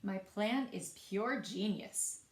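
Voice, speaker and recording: A woman voicing a smug, clever male stone who boasts excitedly in a children's storybook. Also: mid-range voice, slow, echoey room, voice pushed down, close to an everyday voice.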